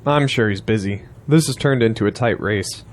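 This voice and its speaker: An actor playing a confident, persuasive campaign strategist, courteous yet blunt, in an audio drama. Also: male voice, on the low side, moderate pace, lightly acted.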